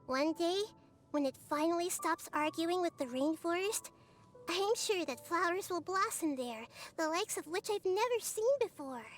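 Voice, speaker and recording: A woman voicing a gentle, curious, wise childlike girl deity in a game, speaking softly and thoughtfully. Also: extremely high pitch, expressive, normal speed. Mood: gentle, reflective. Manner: soft, thoughtful, storybook-like phrasing. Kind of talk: English dub game character voice lines.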